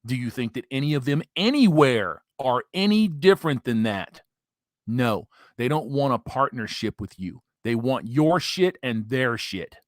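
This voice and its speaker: A man's voice: medium pitch, sing-song, a little slow, no room sound, clean studio sound. A man hosting commentary, ranting in a profane, emphatic, preachy way. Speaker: commentary host.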